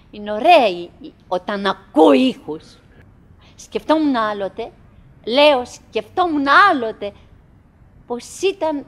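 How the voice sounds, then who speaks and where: A high-pitched, wistful woman in a radio play monologue. She chatters anxiously to hold on to her routine, halting and circling back on her phrases, and moves theatrically from murmur to cry. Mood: wistful, musing. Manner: halting, repetitive, with dramatic swings from murmur to cry. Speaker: stage and radio actress.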